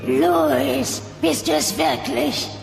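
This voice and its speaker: A woman playing a grumpy, stubborn old dinosaur grandmother with a creaky voice in a German sitcom audio play. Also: high voice, drawn-out words, over music, exaggerated voice. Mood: plaintive. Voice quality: gravelly, creaky. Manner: cranky, dramatic.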